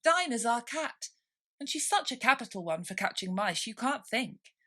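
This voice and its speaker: A polite, curious little storybook girl, played by a woman reader. The voice is high and lilting, earnest and eager. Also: very animated, moderate pace, pushed and bright, full character voice.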